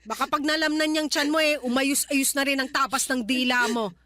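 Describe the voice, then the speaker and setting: A woman playing a blunt, nagging, tactless elderly mother in a Filipino radio drama, scolding with a sharp tongue. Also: high voice, steady tone, moderate pace, very noisy, lightly acted. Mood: offended, sarcastic. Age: older.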